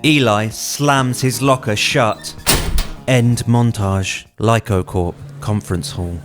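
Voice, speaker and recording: A man's voice: lowish voice, fairly even intonation, slow. Man narrating a screenplay over music. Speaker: writer and narrator. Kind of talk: scripted screenplay narration, present tense.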